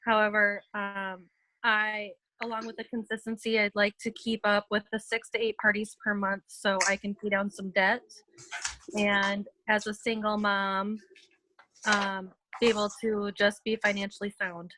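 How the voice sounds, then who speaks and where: A woman's voice: mid-range voice, level tone, measured pace, bright, forceful tone, poor audio. A woman on a team video call, a single mom, introducing herself in an orderly, matter-of-fact way. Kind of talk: casual introduction.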